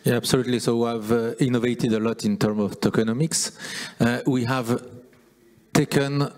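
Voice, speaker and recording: A man's voice: mid-range voice, fairly even intonation, moderate pace, darkish tone. A man on a conference panel speaking confidently and assertively into a handheld mic. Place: conference stage.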